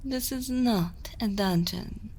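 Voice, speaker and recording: Woman acting a slow-spoken, imperious evil queen. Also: medium pitch, darkish tone, husky. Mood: silky, amused. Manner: slow, deliberate, dominant, often dropping into whispers. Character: imperious, seductive evil queen, menacing yet motherly. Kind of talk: ASMR roleplay.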